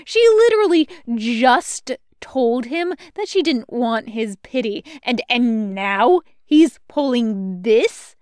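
Lady doing a snarky villain assassin woman, slow and dry, irritable, smooth voice, sci-fi story. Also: a little high, lively intonation, darkish tone, dry recording. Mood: scornful. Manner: drawling, mocking, dry. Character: sardonic, irritable female dark-side assassin.

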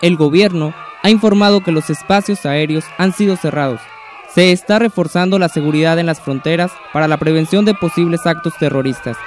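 A man's voice: a little high, brisk, natural voice. A man playing an earnest field reporter in a radio drama, giving a formal scripted bulletin. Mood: serious, urgent. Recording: faint crowd and urban street noise.

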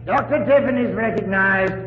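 An actor playing a haughty, autocratic governor in an old radio drama, pompous and imperious. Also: male voice, high-pitched, normal speed, noisy background, close to an everyday voice. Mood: dismissive. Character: haughty, autocratic, scornful territorial governor, man. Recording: muffled, echoing hall-like old radio recording.

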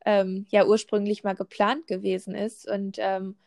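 A woman's voice: medium pitch, little pitch movement, measured pace, creaky, a little mellow. A pregnant woman in her twenties in a casual chat, talking with lots of fillers and restarts. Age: 20s. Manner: chatty, many fillers and restarts.

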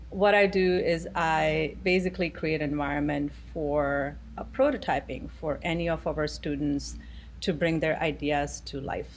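A woman's voice: low voice, slight background noise. A middle-aged woman from India, answering interview questions thoughtfully over a video call with frequent ums. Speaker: guest.

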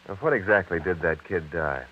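An actor playing an earnest, probing young scientist and husband, in 1930s radio drama style. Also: male voice, deep voice, fairly quick. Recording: dull, hissy vintage radio recording.

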